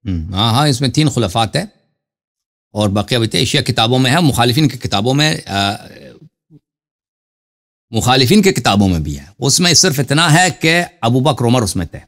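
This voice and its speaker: A male host fields callers on a religious show. His voice sits at a medium pitch. He is polite and conversational, often doubling words for emphasis. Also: sing-song, moderate pace, fairly bright tone. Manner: courteous, repeats words for emphasis. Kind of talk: informal religious question-and-answer with callers.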